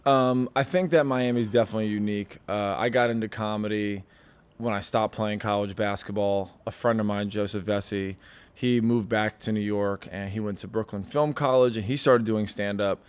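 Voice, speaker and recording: Brief: young male comic, smooth clear voice, casual rambling podcast guest. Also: lowish voice, fairly even intonation, moderate pace. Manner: rambling, lots of likes and restarts. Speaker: stand-up comedian, guest.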